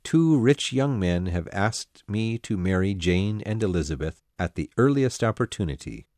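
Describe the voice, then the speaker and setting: A woman voicing a grave but affectionate older father, with a measured, formal way of speaking. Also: rumbling low, expressive, a little mellow, dry recording, clean studio sound, voice pushed down. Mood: wry.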